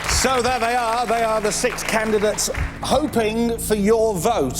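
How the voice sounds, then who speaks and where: A man with a high-pitched voice hosts a quiz show in a jocular, upbeat way, bantering with contestants. Music and a studio audience are clearly heard behind him. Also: steady tone, measured pace, over a noisy crowd. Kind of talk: upbeat game show presenting.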